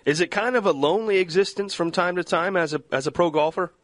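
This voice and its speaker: A man hosting a radio interview, asking measured questions and slowing down for titles. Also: high voice, no room sound. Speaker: radio show host.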